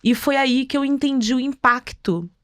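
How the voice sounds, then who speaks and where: Expressive Brazilian woman, close dry sound. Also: high voice, moderate pace, dry recording. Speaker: podcast guest. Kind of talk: conversational interview storytelling.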